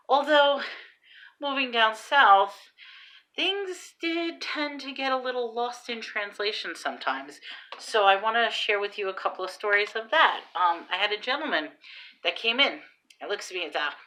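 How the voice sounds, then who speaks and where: A woman in her thirties with a New York Long Island accent, telling stories in a casual vlog, recorded on a phone at arm's length in a small room. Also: mid-range voice. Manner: animated, exclamatory storyteller. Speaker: vlogger and online seller.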